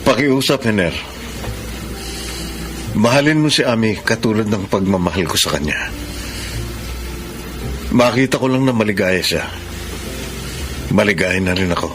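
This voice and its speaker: Heartbroken Filipino male lover, lowish voice, emotive and self-sacrificing, radio drama with music bed. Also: lively intonation. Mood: solemn, resigned. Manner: solemn, emotive, dramatic pauses. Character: Mark, heartbroken self-sacrificing jilted ex-boyfriend. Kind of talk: Filipino radio melodrama acting. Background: melodramatic background music.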